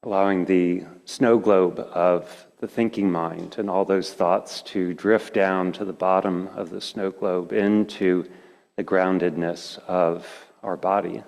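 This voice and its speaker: A man leading a guided meditation as a teacher, speaking in gentle, slow phrases with long pauses. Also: low-pitched, fairly even intonation, gravelly. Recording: reverberant hall.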